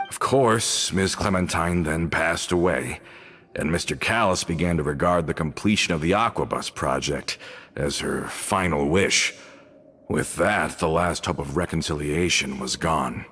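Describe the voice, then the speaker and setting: A man's voice: extremely low pitch, a little slow, fairly bright tone, slightly rough, heavily acted. A man playing a loyal guild member in a game, plain-spoken and a bit hesitant.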